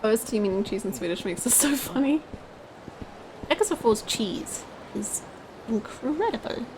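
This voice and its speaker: A woman in her twenties chatting casually as she livestreams a video game, with an expressive, giggly, exclamatory way of talking. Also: fairly high pitch, a little slow, background noise. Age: 20s. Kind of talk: casual livestream chat over gameplay, reading out comments. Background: video game music.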